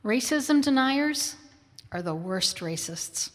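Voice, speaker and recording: A woman's voice: fairly high pitch, animated, slow. A woman reciting short scripted statements, recorded with long hall reverb. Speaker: reader of short personal statements.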